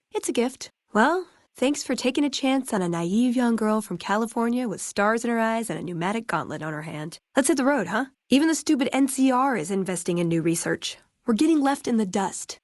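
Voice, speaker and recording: A woman's voice: fairly high pitch, dry recording, clean studio sound. An actress playing a friendly, wry young American woman in a video game, with casual, playful, sardonic conversational delivery. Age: young adult. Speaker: video game companion character. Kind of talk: scripted game dialogue, conversational.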